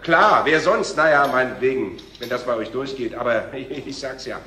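An actor playing a bossy, jittery TV talk show star in a radio play, impatient and slangy. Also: male voice, medium pitch, normal speed, pushed and bright, slight background noise, lightly acted. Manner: impatient, slangy, hesitant when searching for words. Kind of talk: radio drama acting. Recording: echoey room.

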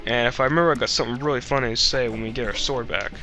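A man's voice: mid-range voice, fairly even intonation, very fast, bright, forceful tone, soft background music. A young man hosting a Let's Play with casual, rambling, jokey gameplay commentary and a slightly stuffy nose. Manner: rambling, goofy, jokey asides.

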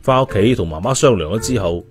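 A man telling a story as an expressive audiobook narrator. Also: on the low side, fast. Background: music.

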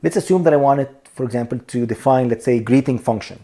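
A man with a mellow, relaxed voice walks viewers through code in a screencast lesson. He talks them through each step, checking in with "okay?" and "here we go," and calls his audience "you guys.". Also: medium pitch, fast. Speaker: programming instructor. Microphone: voice-over on screen recording.

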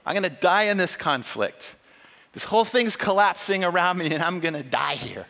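A middle-aged man preaching on a large church stage, emphatic and animated, acting out quoted lines as he tells a story. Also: high voice, normal speed, vocal fry. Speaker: conference speaker. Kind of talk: sermon with storytelling. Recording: long hall reverb.

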